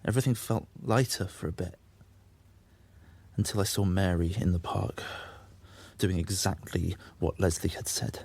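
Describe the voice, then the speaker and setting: A man's voice: sits low, normal speed, gravelly, pauses often, darkish tone, slightly rough, full character voice. An actor playing a troubled, self-justifying young man who confesses and makes excuses in a naturalistic audio drama. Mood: subdued confessing. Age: young adult. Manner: confessional, defensive, sweary.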